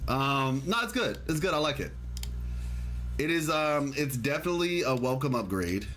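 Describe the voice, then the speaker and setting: A man with a medium-pitched voice talks casually as a livestream host. He meanders, repeats himself and reads out viewer comments before answering them. There is noticeable ambient noise behind him. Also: expressive, fairly bright tone. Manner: rambling, halting, repeats himself. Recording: clearly noisy background ambience.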